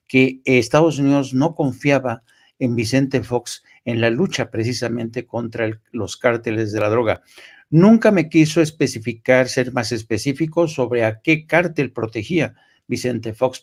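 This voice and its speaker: Mexican journalist guy talking politics unscripted, average pitch, stresses stuff, halting and repeats words. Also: a little mellow. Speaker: journalist, guest commentator.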